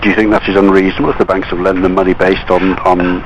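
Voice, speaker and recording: A man's voice: deep voice, flat delivery, quick pace. A man working as a bank representative on a phone call, countering politely in a guarded, hesitant way. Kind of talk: phone conversation. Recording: dull, noisy telephone line.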